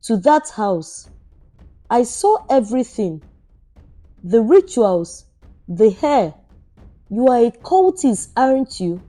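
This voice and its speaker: A horrified young man in a storybook tale, acted out by a woman narrator. The speech is blunt and accusing yet mellow in tone. It moves slowly, with music underneath. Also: a little high, sing-song, exaggerated voice. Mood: accusing, fearful. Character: horrified, accusing young male employee of a barber.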